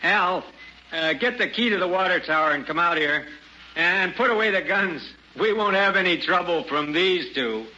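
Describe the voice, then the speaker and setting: A gruff, folksy frontier dad talks curtly in a very sharp, hard voice. The 1970s radio drama recording is muffled, with slight noise. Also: male voice, high-pitched, lively intonation, moderate pace, heavily acted. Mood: dismissive. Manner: folksy, curt, offhand. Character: gruff, hard-bitten frontier father, bigoted.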